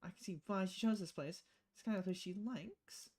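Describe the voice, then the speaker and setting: A woman game streamer reading visual novel dialogue aloud in a theatrical, expressive way. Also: medium pitch, moderate pace.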